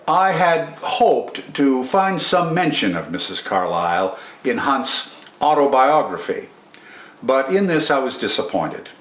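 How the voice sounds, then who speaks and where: An older man presenting poetry in a formal, measured, scripted style, enunciating carefully. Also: mid-range voice, fairly bright tone. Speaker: host of a poetry reading channel. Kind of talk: scripted literary commentary and poetry recitation. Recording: roomy indoor echo.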